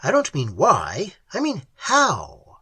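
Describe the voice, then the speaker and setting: A man doing a gruff American adventurer. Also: on the high side, wildly swooping pitch, bright, forceful tone, voice pushed up, exaggerated voice. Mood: halting. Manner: gruff, grunting, halting stammer. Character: wry American man explorer, blunt and gruff.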